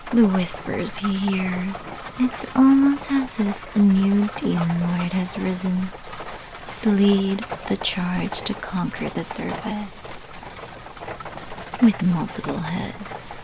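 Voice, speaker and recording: A woman playing a sly, menacing vampire mafia manager in an ASMR roleplay, speaking in a hushed voice that often drops into whispers. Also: medium pitch. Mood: ominous, teasing. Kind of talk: ASMR roleplay, scripted character monologue. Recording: clearly noisy background.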